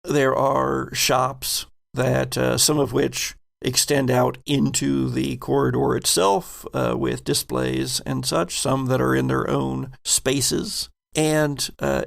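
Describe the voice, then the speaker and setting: Very gravelly man narrating a game. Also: mid-range voice, steady tone, slow, hardly any pauses. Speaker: game master. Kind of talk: improvised scene narration for a tabletop game.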